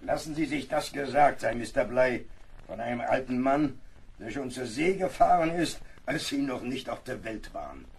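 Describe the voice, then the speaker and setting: An actor playing a world-weary, blunt old ship's surgeon in a radio play, speaking gravely, frankly and deliberately. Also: male voice, medium pitch, animated, full character voice. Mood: admonishing. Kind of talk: German radio play acting.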